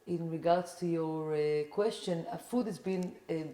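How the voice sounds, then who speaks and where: Low-voiced Israeli woman, rambling. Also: measured pace, warm tone, reverberant, poor audio. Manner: rambling, with many "like" and "you know" fillers. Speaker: podcast guest. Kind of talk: casual interview chat.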